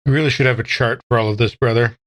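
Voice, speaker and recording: Male roleplayer, middle pitch, dry needling delivery, slight background noise on the recording. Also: fairly even intonation, very fast, a bit bright. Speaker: tabletop game player. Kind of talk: improvised in-character roleplay.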